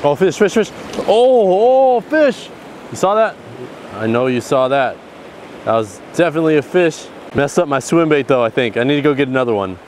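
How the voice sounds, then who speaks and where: A man in his thirties vlogging excitedly while fishing from a rocky ocean shore, recorded outdoors on an action camera. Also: a little high, moderate pace. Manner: excited, exclaiming. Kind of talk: outdoor vlog narration. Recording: faint surf and wind noise. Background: waves and wind.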